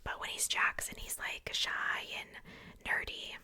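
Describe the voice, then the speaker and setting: A woman speaking in a breathy, unvoiced whisper in a casual ASMR show-and-tell style, hushed and informal. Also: unhurried. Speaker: ASMR video creator.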